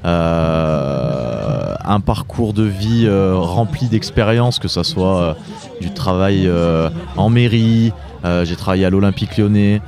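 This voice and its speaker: A man in his late twenties from southeastern France answering interview questions conversationally, often pausing and repeating himself as he searches for words. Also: sits low, over music. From Drôme, southeastern France. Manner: hesitant, searching for words, repeating phrase starts. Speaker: interview guest, author and entrepreneur.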